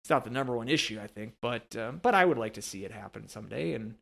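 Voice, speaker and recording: A man with a creaky, gravelly edge to a mid-pitched voice chats as a sports podcast co-host. He feels his way through casual commentary, with hesitant, wandering phrasing. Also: lively intonation, fast, fairly bright tone. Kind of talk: casual hockey commentary chat.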